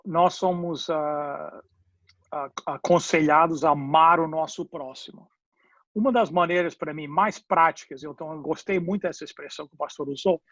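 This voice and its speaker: A man's voice: on the high side, dry recording. A man, a pastor whose first language is English, speaking Portuguese with an English accent, searching for words and slipping in English fillers in a panel discussion. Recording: dull lo-fi dry audio.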